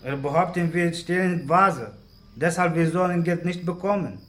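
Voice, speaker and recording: A man's voice: on the high side, moderate pace, a bit bright, natural voice. An actor playing a small, greedy Iraqi gunman with an Arabic accent, speaking blunt broken German and English in a radio drama. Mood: indignant. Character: small greedy bribable armed Iraqi man, blunt and menacing.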